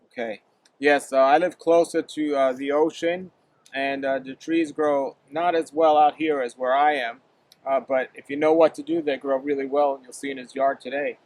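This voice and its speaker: A male interviewer hosting a casual chat in a garden, asking quick follow-up questions with frequent ums, recorded outdoors. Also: medium pitch, steady tone, darkish tone. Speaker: interviewer, channel host. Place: outdoors in a yard.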